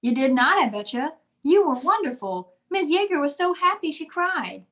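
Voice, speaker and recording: A woman's voice: sits high, big pitch swings, moderate pace, fairly bright tone. A woman playing a chatty, eager little schoolgirl in an old-time radio comedy, pert and childlike. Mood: innocent, insistent.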